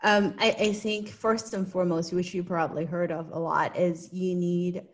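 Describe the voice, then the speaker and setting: A woman's voice: on the low side, fairly even intonation, moderate pace. A woman from Hong Kong with a Hong Kong English accent, a guest giving earnest, explanatory answers in an interview and often checking in with "right?".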